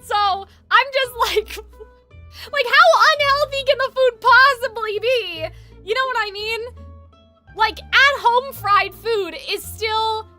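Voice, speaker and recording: A woman livestreaming a game and chatting casually, with animated exclamations, swearing and lots of filler "like". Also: extremely high pitch, slow. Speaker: game streamer. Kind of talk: casual livestream reaction chat. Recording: game music behind the voice. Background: video game music and dialogue.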